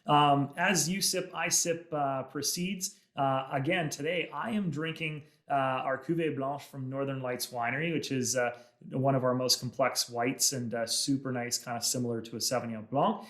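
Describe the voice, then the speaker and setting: A man hosting a casual podcast, upbeat and friendly. He fills his sentences with "uh" pauses. The room adds a noticeable echo. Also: mid-range voice, fairly bright tone. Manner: friendly, many "uh" pauses, enthusiastic. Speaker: podcast host. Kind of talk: casual interview chat.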